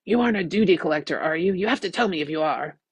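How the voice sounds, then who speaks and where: Gruff elderly female sci-fi trader, played by a woman narrator; grudging, wary, husky, dry recording. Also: fairly low pitch, expressive, fast, no room sound. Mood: suspicious. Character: gruff, suspicious old woman, crusty hologram smuggler.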